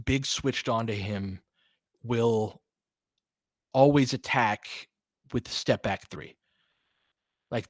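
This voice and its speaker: A man with an average-pitched voice commentates live basketball in a loose, conversational way. He slips between short play calls and slangy analysis aimed at his viewers, thinking aloud as he goes. The sound is dry, with no room around it. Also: fairly bright tone, dry recording.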